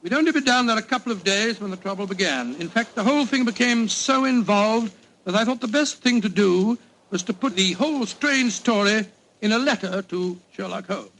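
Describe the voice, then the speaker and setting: A man playing a genial, fussy English gentleman doctor telling his tales in an old radio drama, avuncular and a little pompous. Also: sits high, expressive, normal speed. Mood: genial, reminiscing. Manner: avuncular, chuckling, old-fashioned, slightly pompous. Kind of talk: 1940s radio acting. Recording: noisy old radio recording.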